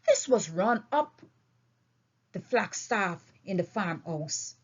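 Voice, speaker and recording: A woman reading a novel aloud as an expressive storyteller, with deliberate, emphatic phrasing, on a dull, lo-fi recording. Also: medium pitch. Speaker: audiobook reader.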